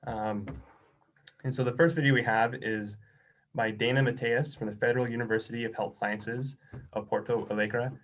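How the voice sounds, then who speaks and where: A man's voice: mid-range voice, steady tone, normal speed, slight background noise, rough recording. A man moderator politely thanks the speaker and introduces the next part of a conference.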